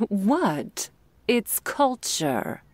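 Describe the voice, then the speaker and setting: A woman playing an affectionate, wry girlfriend in an intimate ASMR roleplay, talking softly and teasingly to her sleepy partner. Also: a little high, sing-song, extremely slow, no echo, exaggerated voice. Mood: playful, animated. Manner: soft, teasing, drifting between whispers and playful chatter.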